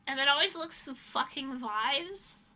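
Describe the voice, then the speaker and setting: Female streamer, high-pitched and piercingly bright, blunt rambling chat delivery, casual and sweary. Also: expressive, takes it slowly. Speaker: livestreamer, illustrator. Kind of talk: casual livestream chat, reading and answering chat messages.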